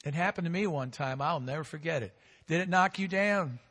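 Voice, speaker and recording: A man preaching a sermon in a voice a little higher than most men's. He leads the congregation in call-and-response, rising loud and then dropping to a hush, and stretches out his key phrases. The sound rings with the long reverb of a big hall. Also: fairly quick. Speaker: pastor.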